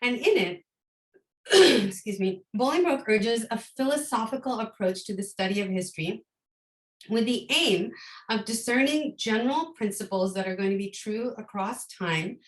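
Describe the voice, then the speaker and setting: A woman giving an academic lecture, with a slightly hoarse voice from a cold, who thinks aloud with frequent ums and restarts. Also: fairly high pitch, lively intonation, normal speed, roomy sound, rough recording. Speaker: guest lecturer.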